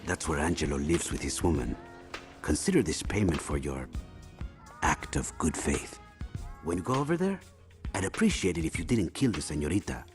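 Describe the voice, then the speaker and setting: A man playing a calculating crime lord in a video game, formal, courteous and deliberate. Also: extremely low pitch, very animated, soft background music. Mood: calm menace. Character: smooth, calculating male crime lord making deals.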